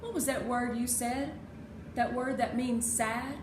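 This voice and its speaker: A woman's voice: fairly high pitch, fairly even intonation, unhurried, voice pushed up, lightly acted. A woman voicing an earnest, stubborn and curious young girl in a children's storybook. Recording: echoey room with clear background noise.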